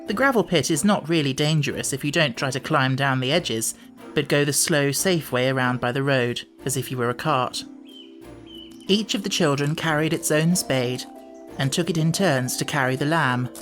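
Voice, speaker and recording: A man's voice: on the high side, normal speed, a bit bright, soft background music. Man narrating a children's storybook. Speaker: audiobook narrator.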